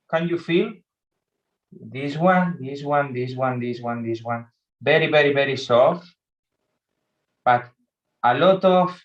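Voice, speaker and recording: A man teaching watercolor painting in a live online demo, speaking halting with many pauses, on a video call. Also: on the high side. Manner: halting non-native English with many pauses. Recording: slightly dull lo-fi stream audio.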